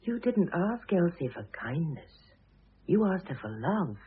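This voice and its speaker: An actress playing a composed middle-aged ex-wife and mother in a radio drama, gentle, wry and affectionately teasing. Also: fairly low pitch, big pitch swings, unhurried, slightly rough, heavily acted. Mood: gentle, reflective. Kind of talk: classic British radio drama acting. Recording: muffled phone-like old radio recording.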